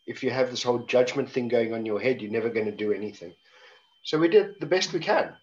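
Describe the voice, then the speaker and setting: A man's voice: mid-range voice, fast talker. South African man on a dull video call. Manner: wry, conversational, frequent restarts. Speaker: panel guest. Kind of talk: reflective panel talk.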